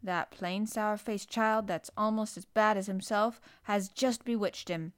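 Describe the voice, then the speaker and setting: A woman's voice: medium pitch, steady tone, unhurried, clear, resonant tone, no room sound, studio quality, lightly acted. A woman voicing a chatty, deferential housekeeper in a storybook, full of astonishment. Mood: amazed, gossipy. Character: gossipy, astonished female housekeeper.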